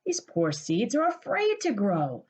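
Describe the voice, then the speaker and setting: A woman's voice: mid-range voice, wildly swooping pitch, a little slow, pitched above the performer's own voice. A woman voicing a kindly, sensible male frog who gives reassuring advice in a children's storybook. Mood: chiding. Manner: advising, reassuring. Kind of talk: children's storybook character reading.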